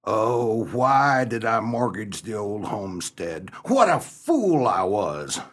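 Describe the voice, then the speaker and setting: Man acting troubled old farmer, swooping theatrical delivery, husky, grumbling, radio play. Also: on the low side, sing-song, slow, full character voice. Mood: despairing remorse. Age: older. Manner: overwrought, theatrical lamenting. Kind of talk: comic radio melodrama acting.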